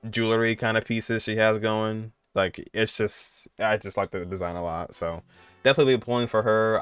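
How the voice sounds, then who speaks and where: A man's voice: fairly low pitch, a bit bright, clean tone, no room sound. A man in his twenties giving casual, conversational reaction commentary as a video creator.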